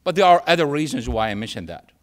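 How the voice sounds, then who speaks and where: A man from Syria with a Syrian Arabic accent and Arabic as his first language, giving a public lecture in an emphatic way with repetition and pauses for effect. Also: mid-range voice, very animated. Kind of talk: public lecture with anecdotes and humour.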